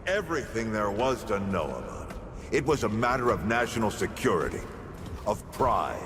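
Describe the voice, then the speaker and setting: A man's voice: on the low side, big pitch swings, moderate pace. An actor playing a weary, haunted older founder telling his story in a video game. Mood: grim, reflective. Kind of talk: cinematic video game acting. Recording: loud music behind the voice.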